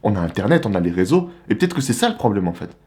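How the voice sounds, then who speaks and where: Animated young man, slangy monologue. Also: lowish voice, rapid-fire. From Republic of the Congo. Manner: animated, slangy, rhetorical questions. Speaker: vlogger. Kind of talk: casual monologue to camera.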